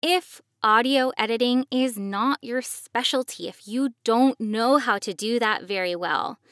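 A woman's voice: a little high, a little slow, fairly bright tone, dry recording, clean studio sound. A woman giving casual, reassuring, explanatory advice as a podcast host. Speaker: podcast host, professional voice actor.

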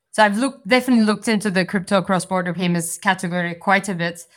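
A woman's voice: mid-range voice, steady tone, fairly quick. A woman from Turkey, a venture capital partner, giving structured, articulate answers in an interview. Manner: articulate, structured explanations with frequent "so" and "you know".

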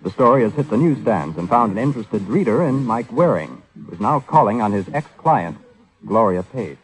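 A man's voice: fairly low pitch, sing-song, moderate pace, rough recording. A man announcing and narrating in formal, declamatory 1950s radio style. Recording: old radio recording, music behind.

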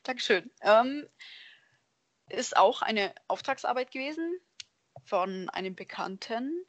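A woman's voice: on the high side, lively intonation, slow, dry recording. A woman speaking as a podcast guest, explaining her work in a casual, conversational way. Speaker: podcast guest, hobby painter. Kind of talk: casual conversational interview answers.

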